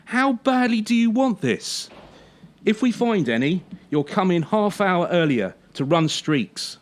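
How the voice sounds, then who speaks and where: A sneering, slow-talking gymnastics coach. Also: medium pitch, big pitch swings. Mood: intimidating. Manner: sarcastic, scolding, deliberate with pointed pauses. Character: harsh, sneering former champion turned gymnastics coach. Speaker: actor in a radio play. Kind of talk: radio drama acting.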